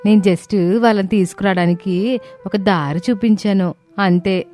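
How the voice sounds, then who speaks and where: A woman's voice: medium pitch, unhurried, warm tone, soft background music, natural voice. A woman playing a dutiful young daughter-in-law in a moral story, earnest and firm. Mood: encouraging.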